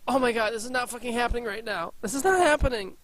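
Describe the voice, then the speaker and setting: A man's voice: very high-pitched, fast talker, a bit bright, poor audio. A man voicing a bossy young woman who turns snappy and shrieking. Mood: panicked. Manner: snappy, shrieking when scared.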